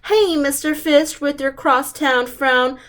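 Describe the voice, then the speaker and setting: A woman's voice: sits high, fairly even intonation, slow pace, fairly bright tone, pitched above the performer's own voice. A woman voicing a cheery female storybook clam, coaxing a friend with a lilt.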